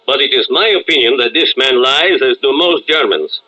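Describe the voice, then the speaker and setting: An actor playing a stern, suspicious Soviet captain in a radio drama, formal and commanding. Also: male voice, medium pitch, normal speed, sharp tone, lo-fi sound. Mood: stern, accusing. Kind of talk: old-time radio drama acting.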